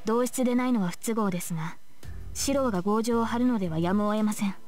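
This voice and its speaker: A woman's voice: medium pitch, very fast, darkish tone, faint music behind, lightly acted. A woman voicing a dignified, mildly stubborn young knight girl in a visual novel, polite and composed. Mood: reluctant, composed. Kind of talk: Japanese visual novel voice acting.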